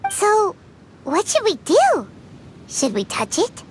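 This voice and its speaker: A woman voicing a tiny, excitable floating female companion who chatters eagerly in a game. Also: extremely high pitch, takes it slowly, a little hiss or hum, heavily acted. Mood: curious. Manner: refers to herself in third person, eager. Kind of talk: game voice acting. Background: music.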